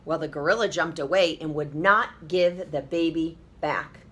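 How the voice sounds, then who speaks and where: A woman teacher reading a picture book aloud to children, expressive and encouraging, pausing to talk to them directly. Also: low voice, slightly rough.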